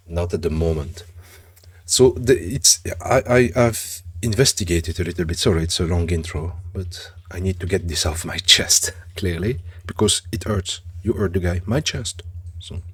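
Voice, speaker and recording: A man with a French accent rambles through gameplay commentary, stopping often to rephrase himself. His voice is husky, with a low pitch and a slow, unhurried pace. The sound is dry and close, with no room echo. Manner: rambling, chatty, frequent self-corrections. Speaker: gaming channel host.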